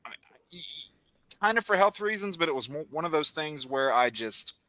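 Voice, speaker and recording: A man with a bright, forceful voice chats loosely with friends on a video call. He rambles and restarts his sentences as he goes. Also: a little high, animated, normal speed, no echo. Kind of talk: casual group chat.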